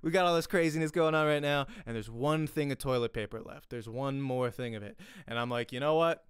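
A man, teaching an informal acting lesson in an animated, conversational way, often checking in with "right?". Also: mid-range voice, fairly quick, clear, resonant tone, no room sound, clean studio sound. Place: small home room, indoors. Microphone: close desk microphone, headset on.

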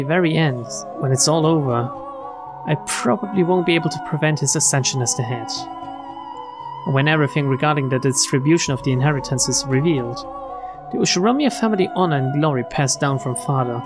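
A streamer reading visual novel text aloud in a dramatic narrating style. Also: medium pitch. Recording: game music behind the voice.

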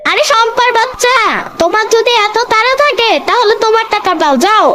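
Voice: very high-pitched, fairly quick, very noisy. An actor voicing a cheeky, stubborn little boy in a comedy cartoon, whiny and mouthy, with a Bangladeshi accent. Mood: stubborn protest. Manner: whiny, bargaining, backtalking. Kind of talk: Bengali comedy cartoon dub. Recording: loud music over the voice.

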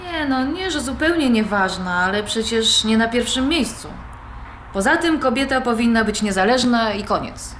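A woman's voice: a little high, expressive, moderate pace, a bit bright. A young woman playing an independent-minded granddaughter, conversational and questioning, in audio drama dialogue. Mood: challenging. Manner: conversational, questioning, mildly protesting. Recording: roomy recording with background noise.

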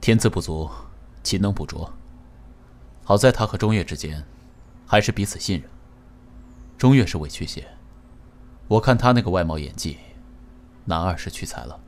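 An actor playing a composed, protective company chairman in an audio drama, speaking in a restrained, quietly reproving way. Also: sits low, lively intonation, moderate pace, pauses often. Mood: measured. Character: composed, low-voiced male company chairman, protective and stern. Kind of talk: Chinese audio drama acting.

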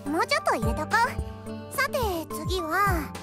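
A woman's voice: very high voice, big pitch swings, unhurried, loud music behind the voice, full character voice. A woman voicing a small, girlish academy headmistress who frets and threatens punishment, in anime acting. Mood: fretful. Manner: doting yet threatening, fretful.

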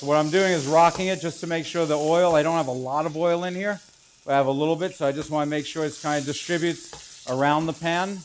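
Man, 40s, chef instructor, relaxed and explanatory, slightly higher voice, pan sizzling behind. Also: slight background noise. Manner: relaxed, explanatory, thinking aloud while working. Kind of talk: cooking demonstration. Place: large professional teaching kitchen, indoors. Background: food sizzling in a pan.

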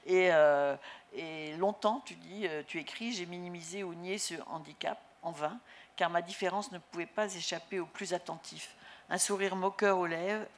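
A woman in her sixties hosting a literary panel at a festival, reading excerpts aloud into a handheld mic. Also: lowish voice. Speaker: panel host. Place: large festival tent with live audience. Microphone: handheld microphone.

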